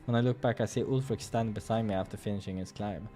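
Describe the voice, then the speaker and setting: A man's voice: fairly low pitch, steady tone, fast, mellow. A man reading a visual novel aloud during a gaming playthrough, slipping into casual asides and stumbling over lines now and then. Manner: stumbles over read lines and corrects himself.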